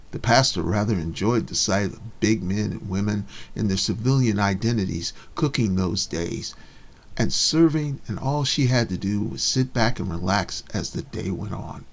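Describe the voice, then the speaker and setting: A man's voice: lowish voice, creaky. A man narrating a scripted short story as its author, reading it aloud.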